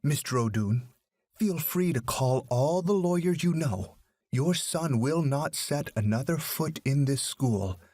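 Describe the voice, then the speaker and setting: A man's voice: fairly high pitch, animated, unhurried, mellow, no room sound, voice pushed up. A man voicing a stern, resolute woman school principal with a formal, firm manner in a story.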